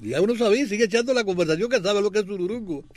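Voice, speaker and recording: A man's voice: sits high, rapid-fire, a little mellow, no room sound. An older man with a Cuban accent, a grandfather, co-hosting a casual talk show and sharing easy-going memories with a laugh. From Camagüey, Cuba. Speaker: co-host. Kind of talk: casual radio chat.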